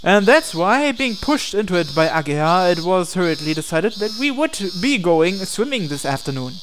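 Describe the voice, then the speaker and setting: A man's voice: high voice, very animated, moderate pace, a bit bright, slight background noise. A man reading a visual novel aloud in a narrated let's play, adding asides and occasionally stumbling over the text. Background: game music.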